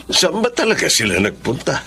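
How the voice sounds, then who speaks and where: A man's voice: a little high, wildly swooping pitch, brisk, sharp tone, a little hiss or hum, heavily acted. A man playing a fond, reminiscing elderly relative in a radio drama. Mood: nostalgic. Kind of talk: Tagalog radio drama acting.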